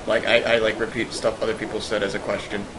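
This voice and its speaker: A man's voice: fairly low pitch, flat delivery, fast talker. Wry man joking over noisy game audio. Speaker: let's play commentator. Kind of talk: casual joking gaming commentary.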